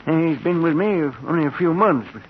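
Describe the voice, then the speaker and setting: An actor playing a frail, kindly old professor, fussy, eager and affectionate, in a 1940s radio drama. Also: male voice, a little high, animated, moderate pace, lightly acted. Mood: eager, proud. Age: older. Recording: muffled, noisy 1940s radio recording.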